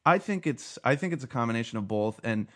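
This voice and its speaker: A man's voice: mid-range voice, animated, fast, fairly bright tone. A man hosting a casual chat about film news, talking with many fillers and restarts.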